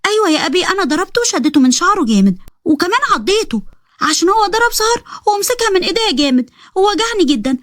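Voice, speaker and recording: A woman's voice: very high voice, big pitch swings, fast, a bit bright, dry recording, studio quality, pitched above the performer's own voice, exaggerated voice. A woman with an Egyptian accent voicing a chatty, innocent little girl who talks in a childish, pleading way. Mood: upset.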